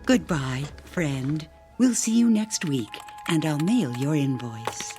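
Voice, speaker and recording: An actress playing an oblivious receptionist with relentless sing-song customer-service politeness in a radio drama. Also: female voice, deep voice, animated, slow pace. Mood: blandly cheerful. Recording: music and keyboard typing behind.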